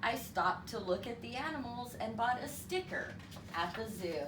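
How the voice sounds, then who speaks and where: Female children's story reader, very expressive and unhurried, teacherly; recorded at a distance from the mic with noticeable noise. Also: on the high side. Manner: animated, deliberate, teacherly delivery for children. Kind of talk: expressive read-aloud storytelling with counting lesson. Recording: roomy, distant mic with clear background noise.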